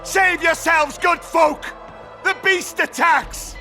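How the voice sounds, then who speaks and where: A man's voice: very high-pitched, steady tone, slow pace, pushed and bright, faint music behind, full character voice. A man voicing an indignant, coarse river-port townsman in a video game, blunt and scolding. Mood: alarmed.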